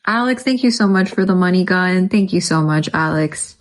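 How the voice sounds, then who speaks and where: A woman's voice: on the low side, normal speed. Chatty New woman vlogger. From New York. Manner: chatty, many fillers, thanks viewers by name. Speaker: livestream vlogger. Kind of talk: casual livestream chat.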